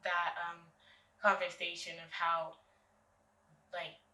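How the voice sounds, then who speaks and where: A woman's voice: on the low side, slow pace, reverberant, far from the mic. Young American woman, casual and hesitant. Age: young adult. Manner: relaxed, hesitant with many fillers. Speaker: guest. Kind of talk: casual interview.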